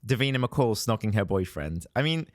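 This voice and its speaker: A hoarse young man, a showbiz reporter telling festival stories in a chatty way and laughing often. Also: fairly low pitch, very animated, moderate pace, no room sound, studio quality. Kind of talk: casual podcast chat.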